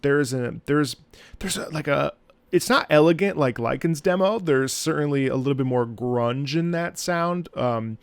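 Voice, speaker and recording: A man's voice: on the high side, normal speed, clean tone, no echo. A man giving casual, effusive reaction commentary, full of enthusiasm. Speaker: reaction video host; opera singer and voice actor.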